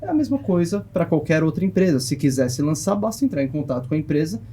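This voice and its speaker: Brazilian guy explaining stuff on a podcast, soft mellow tone, a bit high, searches for words. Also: big pitch swings, fast talker, breathy edge. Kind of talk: conversational explanation. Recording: faint background noise.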